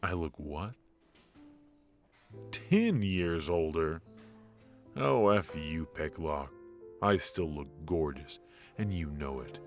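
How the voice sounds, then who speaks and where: A man playing a cocky but affectionate secret agent in an intimate noir audio roleplay, with halting, teasing delivery. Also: rumbling low, expressive, faint music behind, heavily acted. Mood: weary, teasing. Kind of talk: intimate ASMR roleplay voice acting.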